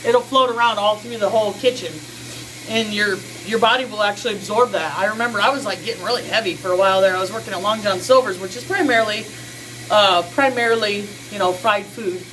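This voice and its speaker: Woman, early 40s, chatty home vlogger; mid-pitched, faint hiss behind, small bathroom. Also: a little fast, fairly bright tone, poor audio. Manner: chatty, folksy, self-interrupting with fillers. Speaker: skincare vlogger. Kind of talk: casual how-to chat.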